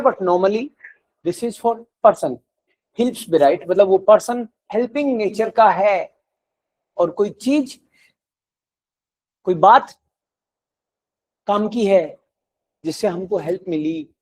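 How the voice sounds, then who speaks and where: A man's voice: sits high, a little mellow. A man with an Indian accent teaching a language class, switching between languages as he addresses students.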